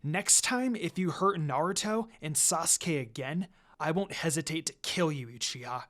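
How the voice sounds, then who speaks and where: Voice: medium pitch, lively intonation, normal speed, fairly bright tone, natural voice. A reader voicing a guarded, blunt young female ninja who teases and commands in a terse, dry manner. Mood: angry.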